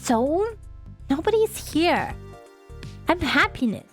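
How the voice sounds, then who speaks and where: A woman voicing an earnest, pushy, lovestruck foreign high school girl from a visual novel, with stilted, broken phrasing. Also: very animated, slow pace, fairly bright tone, faint music behind, full character voice. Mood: earnest pleading.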